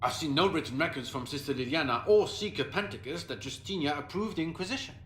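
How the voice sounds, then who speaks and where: In an echoing space with faint noise, a proud marquis from a fantasy game. His fairly high male voice is pompous and formal, declaiming with haughty indignation. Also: lively intonation, fast, a bit bright. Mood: dismissive. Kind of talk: video game dialogue acting.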